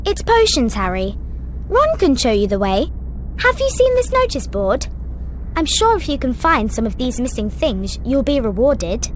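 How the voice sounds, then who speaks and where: A woman's voice: high-pitched, big pitch swings, moderate pace, slight background noise. A woman voicing a helpful, keen young British schoolchild in a video game. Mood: helpful, bright. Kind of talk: video game cutscene acting.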